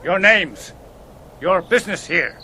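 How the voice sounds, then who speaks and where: A man's voice: high voice, expressive, measured pace, creaky, pushed and bright, slight background noise, exaggerated voice. An actor playing an earnest, wary village watchman in a radio drama, plain-spoken and deferential. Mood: wary challenge.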